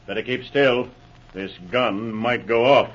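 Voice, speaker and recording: An actor playing a smug, menacing smuggling boss, mock-polite and taunting, in a 1940s radio drama. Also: male voice, medium pitch, unhurried, natural voice. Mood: smug menace. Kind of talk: 1940s radio villain acting. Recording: muffled old radio recording.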